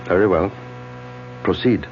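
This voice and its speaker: An actor playing an urgent, horrified doctor in a 1970s radio drama, giving clipped orders and exclamations. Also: male voice, deep voice, measured pace, soft background music. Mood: tense. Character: doctor friend, urgent and horrified, taking charge. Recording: dull lo-fi old radio recording.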